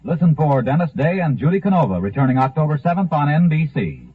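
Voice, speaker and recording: A man's voice: a little high, very animated, fast talker. A man announcing for a sponsor in scripted 1950s radio style. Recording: tinny 1950s radio recording.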